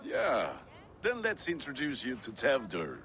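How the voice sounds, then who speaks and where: An actor playing a hearty, friendly Danish smith and eager bridegroom in a video game cutscene, jovial and teasing. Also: male voice, mid-range voice, huge pitch swings, unhurried, a bit bright, a little hiss or hum. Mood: warm, introducing.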